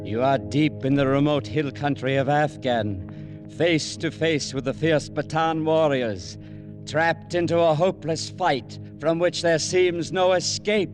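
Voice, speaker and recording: A man's voice: fairly high pitch, unhurried. A man giving an urgent, suspenseful introduction in 1940s radio style on a vintage recording. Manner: dramatic, urgent. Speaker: radio drama announcer. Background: music.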